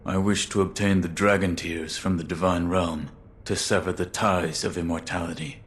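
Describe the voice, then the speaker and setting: An actor playing a stoic, terse shinobi warrior in a video game, grave and restrained. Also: male voice, sits low, steady tone, normal speed, slight background noise, lightly acted. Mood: grim, resolute. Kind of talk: dramatic video game acting.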